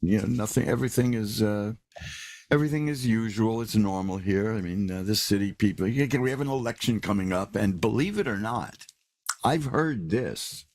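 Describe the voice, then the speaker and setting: An older man with a raspy voice hosting a live radio show, rambling in a casual monologue with wry asides and repeated words. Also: on the low side, normal speed, a little mellow, dry recording. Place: New York.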